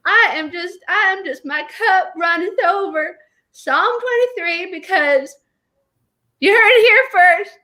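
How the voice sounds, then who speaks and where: A woman's voice: very high-pitched, measured pace, bright, forceful tone, heavily acted. A woman playing a tearful, gushing, churchgoing grandmother as a guest on a comedy show. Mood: weepy gushing.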